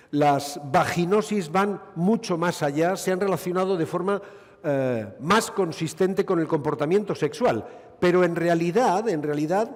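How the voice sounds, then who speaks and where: A man's voice: a little high, darkish tone. Basque-born man lecturing in a hall. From Basque Country, Spain. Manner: expansive, witty and rhetorical, with dry asides. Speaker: gynecologist giving a conference lecture. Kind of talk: conference lecture.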